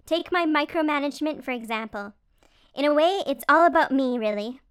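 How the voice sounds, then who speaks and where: Man voicing a very high-pitched chattering mother beaver. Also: animated, a little fast, fairly bright tone, dry recording, studio quality. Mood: teasing, frank. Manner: chattering, emphatic, playful. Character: chatty, teasing motherly female beaver with beady eyes.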